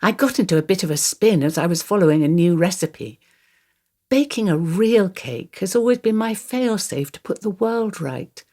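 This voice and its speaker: A woman's voice: lowish voice, animated, moderate pace, mellow. An older woman acting a radio play monologue as a wistful, wry grandmother confiding her thoughts. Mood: reflective. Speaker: actor reading a monologue. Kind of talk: radio play monologue, first-person storytelling.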